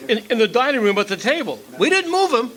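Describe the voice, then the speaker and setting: A man playing an excitable, friendly party guest in an amateur radio mystery, with an exclamatory, animated delivery. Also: extremely high pitch, fast talker, exaggerated voice. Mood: startled. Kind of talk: amateur old-time radio acting.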